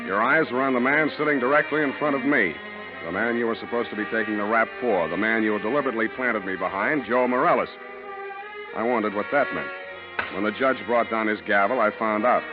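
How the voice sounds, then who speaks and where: An actor playing a street-wise, unimpressed private detective, narrating and talking in a wry, slangy, deadpan 1940s radio style. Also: male voice, lowish voice, fast talker, lightly acted. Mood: wry, matter-of-fact. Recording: muffled old 1940s radio recording with music.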